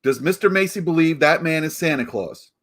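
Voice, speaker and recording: An actor playing a combative, exasperated prosecutor in a 1940s-style radio play, indignant and emphatic. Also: male voice, on the high side, lively intonation, fairly quick, a bit bright. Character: exasperated, combative male prosecutor, family man.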